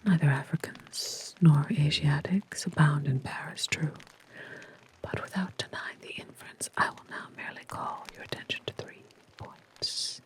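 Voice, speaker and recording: ASMR reader doing a methodical male detective, whispered, deliberate, storybook tone. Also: mid-range voice, steady tone, voice pushed down, lightly acted, low-quality recording. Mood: reasoning. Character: calm, methodical male detective reasoning aloud.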